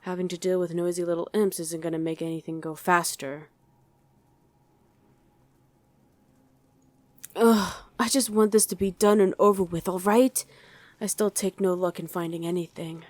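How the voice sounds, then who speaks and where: Wry, irritable female demon played by a woman, slightly low voice, conversational ASMR roleplay banter with a work partner. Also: steady tone, fairly quick, a little mellow, dry recording, lightly acted. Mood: irritated. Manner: conversational, hesitant musing, bickering with a partner. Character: female demon on mortal-hunting duty, wry, easily irritated. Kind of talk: ASMR roleplay acting to an unheard listener.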